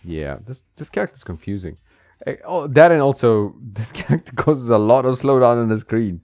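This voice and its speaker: A man's voice: medium pitch, lively intonation, normal speed, a little mellow, no room sound. A man giving casual, reactive commentary while he plays a retro fighting game, chuckling often and talking back to the game. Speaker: retro game video host. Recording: dull dry audio with game music behind.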